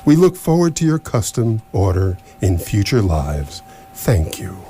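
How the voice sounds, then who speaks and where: A man voices a coldly courteous recorded announcement in sci-fi radio theatre. The voice is low and formal, yet moves through lively, unctuous inflections. Faint hiss and noise sit in the live recording. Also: a little slow. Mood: polite menace. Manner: formal, smoothly courteous, officious. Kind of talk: comic science fiction radio theatre. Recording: noisy live stage recording.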